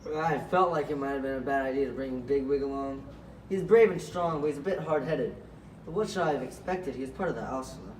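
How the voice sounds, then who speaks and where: A man's voice: medium pitch, quick pace, darkish tone, natural voice. A man playing a caring, cautious rabbit leader in an amateur puppet show, earnest and concerned. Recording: echoey, noisy room, far from mic.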